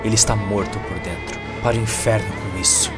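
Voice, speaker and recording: A man's voice: lowish voice, steady tone, brisk. A man giving dramatic scripted narration of a cyberpunk story as its narrator. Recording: music behind the voice.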